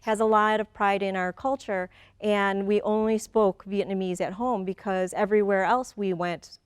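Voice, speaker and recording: A woman's voice: medium pitch, fairly even intonation, moderate pace, clean tone, no echo. A woman in her forties who grew up in Michigan, a guest in a conversational interview, speaking thoughtfully, often repeating words as she gathers her thoughts and laughing easily. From Michigan, USA. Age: 40s.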